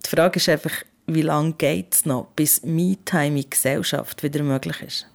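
Low-pitched woman, Swiss German accent, podcast host, candid, colloquial, conversational. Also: fairly even intonation, normal speed, vocal fry.

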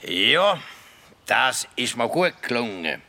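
A man playing an eccentric, cryptic forest spirit in a children's radio play, with a Swiss German accent and a gravelly voice. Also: mid-range voice, very animated, bright, forceful tone, heavily acted. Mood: musing, playful. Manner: slow, drawn-out, cryptic.

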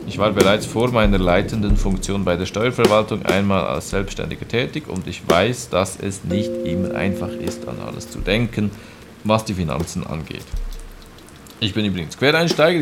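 A man reading a formal letter aloud as a courteous, self-assured former tax office chief in a radio play. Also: on the low side. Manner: polite, formal.

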